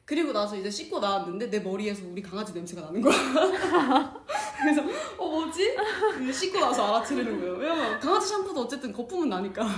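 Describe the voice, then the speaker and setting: Brief: young female co-host from South Chungcheong, giggly storyteller, pitch all over the place, echoey room. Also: animated, fairly quick, noisy background. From South Chungcheong, Korea. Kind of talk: casual chat. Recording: roomy echo.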